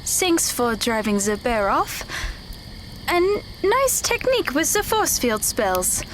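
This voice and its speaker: A woman's voice: high-pitched, sing-song, slow, fairly bright tone, slight background noise, heavily acted, poor audio. A woman acting in an audio drama as a weary, guarded runaway teenage magical girl who answers tersely. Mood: reserved.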